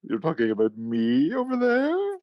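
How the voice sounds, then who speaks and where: A man's voice: fairly high pitch, huge pitch swings, moderate pace, dry recording, pitched above the performer's own voice. A man voicing a country as a coy male character, coaxing and fishing for praise in a sing-song way over a phone call.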